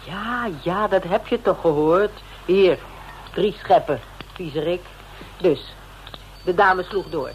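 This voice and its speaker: An actor playing a police inspector's wry, familiar companion in a radio drama, talking informally and teasingly. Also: male voice, high-pitched, takes it slowly, long pauses, a little hiss or hum, low-quality recording. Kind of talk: Dutch radio drama acting.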